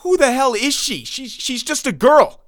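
A man's voice: extremely high pitch, lively intonation, moderate pace, a bit bright, dry recording, studio quality, voice pushed up. A man reading a story, voicing a frightened young man who stammers and pleads. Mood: terrified. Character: terrified young nightclub owner, stammering.

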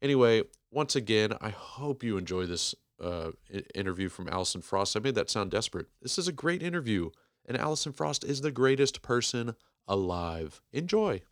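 A man in his forties hosting a podcast, giving a rambling, self-deprecating intro with emphatic, clearly enunciated speech. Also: on the low side, sing-song, normal speed, no room sound, clean studio sound. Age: 40s. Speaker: podcast host. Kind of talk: casual podcast intro monologue.

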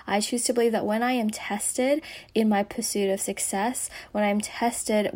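A hoarse woman losing her voice, hosting a podcast with an earnest, encouraging motivational monologue that slips into a breathy whisper. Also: mid-range voice, a little mellow. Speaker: podcast host.

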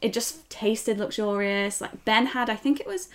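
A woman's voice: fairly high pitch, moderate pace, fairly bright tone. A young British woman co-hosting a podcast in casual, effusive, enthusiastic chat. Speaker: podcast co-host.

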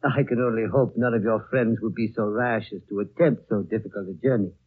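Courteous old lama, lowish husky voice, formal and evasive, narrow vintage radio recording. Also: male voice, steady tone. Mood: polite, guarded. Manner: formal, measured, politely evasive. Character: courteous, discreet lama aged over a hundred, looking younger. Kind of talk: 1930s radio drama acting.

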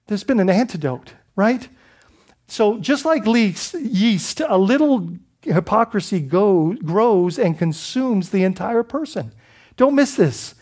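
A man's voice: high-pitched, darkish tone. A man from the Bahamas preaching a sermon as pastor, in a conversational style with many restarts and rhetorical questions.

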